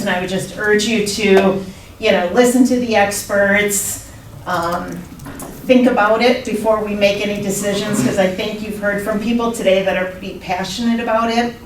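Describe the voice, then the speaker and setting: An older woman from the Midwest, speaking at a public comment session, earnest and urging in a measured way. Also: mid-range voice. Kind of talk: public comment at a council meeting. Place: council meeting room. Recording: echoey room, distant mic, faint noise.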